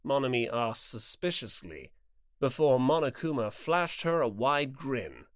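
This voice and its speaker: Female audiobook narrator, highly animated intonation, dry close sound, scripted storytelling. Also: a little slow, no room sound.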